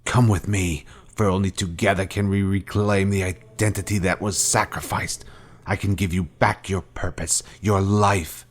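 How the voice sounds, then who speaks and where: An actor playing a vengeful fallen Jedi master in a video game, cold and commanding, with grave, deliberate, formal speech. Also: male voice, low-pitched, fairly even intonation, natural voice. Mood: grave, accusing. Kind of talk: scripted video game villain dialogue.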